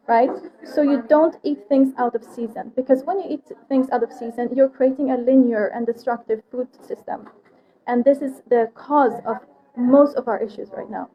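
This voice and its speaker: A woman raised in Sweden talks through her food project as an interview guest. She explains in plain, earnest steps in a high voice. Crowd chatter runs behind her. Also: dull audio. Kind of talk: casual interview conversation.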